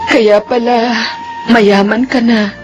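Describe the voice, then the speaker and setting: A woman's voice: on the high side, steady tone, slow, over music. A woman acting as a poor, devout, hopeful middle-aged widow and mother, earnest and long-suffering, in a Filipino radio drama. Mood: astonished, moved. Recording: dull lo-fi radio drama track.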